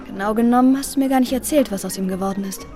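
An actress playing a lively, friendly young female student in a German radio drama. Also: fairly high pitch, racing, faint music behind, close to an everyday voice. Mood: light, kind. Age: young adult.

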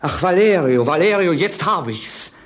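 A man's voice: on the high side, lively intonation, normal speed, a bit bright. A man playing a bored, witty, melancholy young prince in a German radio drama, with an ironic, theatrical way of musing. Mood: dreamy, rapturous. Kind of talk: German stage-classic radio drama acting.

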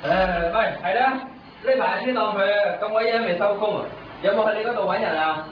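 Actor as a high-voiced, sympathetic man, very echoey. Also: fairly quick, natural voice. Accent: Hong Kong Cantonese. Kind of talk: radio drama dialogue. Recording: muffled, very echoey, distant, faint noise.

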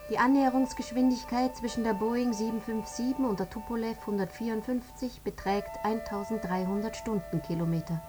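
An actress playing a precise, clinical reporter reading an air crash investigation in a radio drama. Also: female voice, a little high, steady tone, a little fast, few pauses, over music, natural voice. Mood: clinical, detached.

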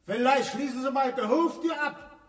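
A man's voice: extremely high pitch, moderate pace. An actor playing a nosy, bullying male neighbour in a radio drama, scolding and barking from far off in an echoing stairwell. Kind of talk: German radio drama acting. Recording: distant, echoey stairwell reverb.

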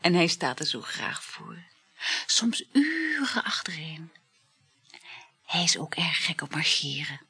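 An actress playing a flirtatious, teasing woman in a Dutch radio play, with coy, drawn-out, expressive delivery and a husky voice. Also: sits low, no room sound, heavily acted. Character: flirtatious, teasing woman boasting about her lovers.

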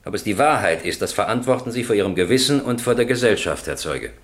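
An actor playing a stern, formal presiding judge who questions with authority in a German radio crime drama. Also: male voice, fairly low pitch, expressive, fast talker. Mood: stern, reproving. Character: stern, probing male presiding judge in a courtroom.

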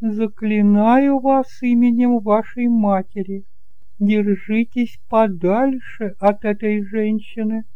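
A man's voice: high-pitched, steady tone, takes it slowly, clean tone, no room sound, narrow, tinny audio, voice pushed up. A man voicing a genteel, middle-aged widowed aunt who speaks with emphatic, solicitous insistence in an audiobook drama. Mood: worried, warning.

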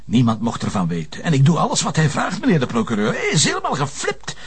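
An actor with a Flemish accent playing a harried, stubborn police commissioner in a naturalistic TV crime drama, with a husky, gravelly voice. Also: male voice, a little high, wildly swooping pitch, fast talker, full character voice. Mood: pressured, defensive.

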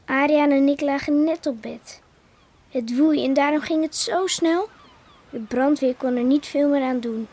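An actress playing a young fishing-village wife who gently reassures her father, hesitant at first and then steady. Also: high voice, unhurried. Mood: calm. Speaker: daughter breaking bad news.

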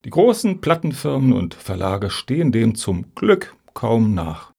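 A man acting in a radio satire as a high-minded cultural activist with a wry, genteel manner. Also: very animated, unhurried, gravelly, dark tone, husky, exaggerated voice. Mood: complacent.

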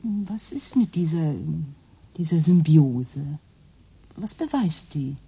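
A dry sarcastic wife, radio play, low husky voice, mellow tone. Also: female voice, animated, takes it slowly, exaggerated voice.

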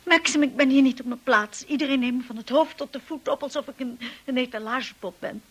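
A timid young bride in a radio play. Her voice is fairly high and very breathy, hesitant and earnest, with an insecure, apologetic way of speaking. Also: brisk, fairly bright tone. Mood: hurt, self-pitying. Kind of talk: radio drama acting.

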